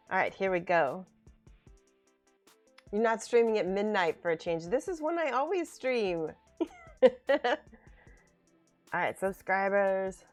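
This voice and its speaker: A woman's voice: medium pitch, expressive, slow pace, long pauses, a little mellow. A woman hosting a casual livestream, chatting and laughing as she reads viewer comments aloud. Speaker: miniature painting streamer. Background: faint background music.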